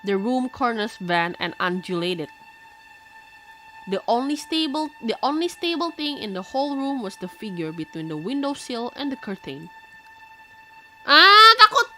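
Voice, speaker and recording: A woman with an Indonesian accent streams as a VTuber, reading visual novel text aloud and breaking off to chat with viewers. Her voice sits a bit high and swings widely in pitch as she reacts. Game music plays clearly behind her. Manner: expressive, reacts loudly, switches quickly between reading and chat.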